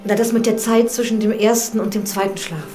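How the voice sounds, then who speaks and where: An actress playing a deferential, curious village housekeeper in a radio drama, plain-spoken and hesitant. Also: female voice, mid-range voice, steady tone, a little fast, dark tone, soft background music, close to an everyday voice. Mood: deferential, confiding.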